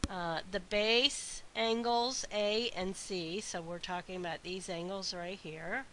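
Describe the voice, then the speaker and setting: A woman math instructor recording a lecture while congested from a sinus infection, her voice weak and stuffy, explaining slowly with frequent uhs and ums. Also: medium pitch, fairly even intonation, pushed and bright. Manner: slow, methodical explaining with frequent uh and um. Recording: faint hiss.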